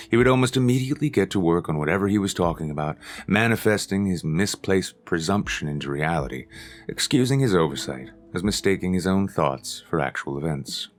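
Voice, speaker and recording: A man reading a short story aloud as its narrator, in a measured, even storytelling manner. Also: deep voice. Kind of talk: scripted audiobook narration.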